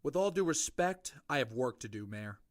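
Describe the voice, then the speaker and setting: A man's voice: mid-range voice, lively intonation, normal speed, dry recording, studio quality, natural voice. A man playing a dutiful, sarcastic sheriff in an audio drama, formal and terse. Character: gruff, businesslike male sheriff, sarcastic and dutiful.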